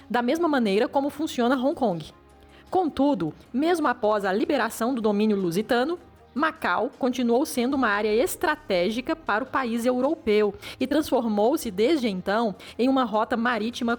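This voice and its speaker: A woman teacher reading a formal, measured educational narration, heard as played-back video audio. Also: a little high. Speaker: language teacher.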